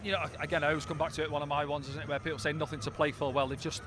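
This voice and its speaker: A man from Wales, a former player, chatting casually as a pre-match pundit at pitchside, full of fillers and upbeat. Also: little pitch movement, very fast, noisy background. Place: outdoors pitchside at a stadium.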